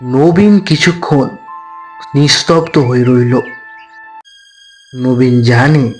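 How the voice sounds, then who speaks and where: A man narrating a scripted horror story in a suspenseful, dramatic manner with deliberate phrasing. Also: mid-range voice. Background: faint background music.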